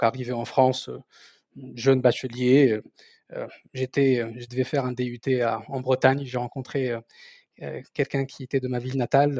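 A man's voice: mid-range voice, a little mellow, no echo. Man in his thirties, native Berber speaker, dry sound. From southern Morocco. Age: 30s. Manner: hesitant, repeating words as he searches for phrasing. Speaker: podcast guest, company founder. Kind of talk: casual autobiographical interview.

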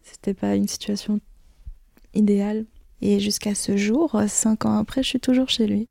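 A woman in her twenties speaks in a mellow, gravelly voice with a flat, even delivery. She talks hesitantly, with many fillers and restarts. The recording is close and dry. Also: mid-range voice, normal speed, dry recording. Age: 20s. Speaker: interviewee giving a personal testimony. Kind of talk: candid personal account.

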